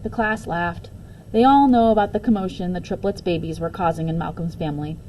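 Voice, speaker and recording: Female storybook reader: soft, warm timbre, expressive narration for children, slight noise behind. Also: fairly low pitch, a little fast, rough recording. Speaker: teacher reading a book aloud. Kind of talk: children's storybook read-aloud.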